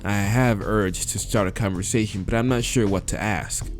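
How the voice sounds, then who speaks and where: A man's voice: fairly low pitch, normal speed. A man narrating a visual novel aloud as a let's-play host, casual and crude, sometimes stumbling over his words. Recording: music behind the voice. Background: game music.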